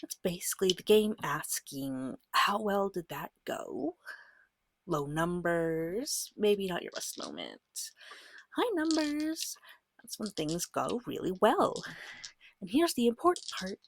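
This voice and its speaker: A woman speaking softly and breathily, often near a whisper, gently and patiently guiding a beginner as game master in an ASMR roleplay. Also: medium pitch, very animated, a little hiss or hum. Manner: gentle, patient, reassuring, unhurried. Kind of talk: soft-spoken ASMR roleplay tutorial.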